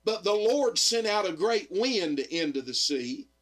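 A middle-aged man, slightly hoarse, preaching earnestly on radio with frequent amens and pauses. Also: sits high, sing-song, a bit bright. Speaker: radio evangelist and pastor. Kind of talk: radio sermon.

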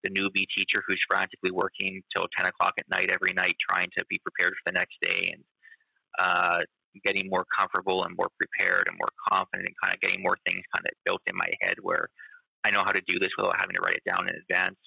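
A male teacher talking in a casual conversation, halting and full of fillers. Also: sits low, vocal fry, pushed and bright, husky, dry recording, rough recording. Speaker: teacher and guest.

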